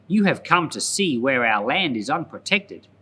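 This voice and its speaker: A man's voice: medium pitch, big pitch swings, moderate pace, bright, forceful tone, a little hiss or hum. Man reading as a stern, commanding ancient governor. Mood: stern, accusing. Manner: harsh, commanding. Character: stern, accusing male governor hiding who he is.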